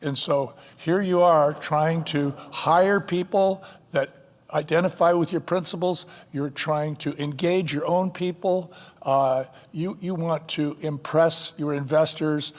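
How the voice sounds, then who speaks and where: A man's voice: a little high, steady tone, a little slow, long reverb tail. An older man, a company co-founder, speaking emphatically in an interview like a seasoned salesman. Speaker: co-founder guest. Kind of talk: interview, persuasive pitch.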